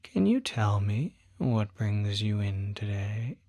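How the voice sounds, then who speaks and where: A performer voicing a calm, attentive demon doctor in a soft-spoken ASMR roleplay, often whispering, with gentle, deliberate questioning. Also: sits low, no room sound, full character voice. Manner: slow, deliberate, gentle bedside questioning with pauses.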